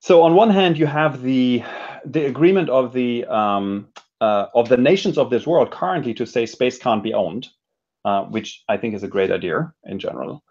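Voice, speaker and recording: A man's voice: medium pitch, moderate pace, a little mellow, highs cut off. A man speaking as an interview guest, explaining ideas conversationally and often checking in with "right?". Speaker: podcast guest, entrepreneurship expert.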